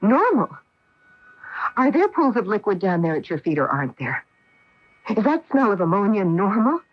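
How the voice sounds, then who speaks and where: Through a dull old AM radio recording comes an anxious astronaut wife. Her voice is husky and mid-pitched. She is earnest, insistent and dramatically fearful, in classic radio play manner. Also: sing-song, normal speed, no echo. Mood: sharp, challenging. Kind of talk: 1980 radio drama acting. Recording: muffled old AM radio broadcast.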